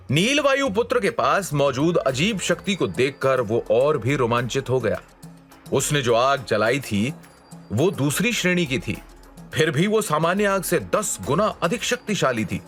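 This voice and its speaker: Male fantasy story narrator over soft music. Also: fairly high pitch. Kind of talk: dramatic scripted fantasy narration. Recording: music behind the voice. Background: background music.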